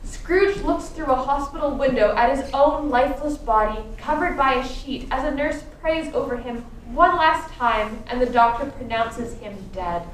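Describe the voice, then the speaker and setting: A woman's voice: sits high, normal speed, hardly any pauses, close to an everyday voice. A woman narrating a scripted Christmas radio play as its storyteller. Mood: dramatic. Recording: distant echoey stage, faint noise.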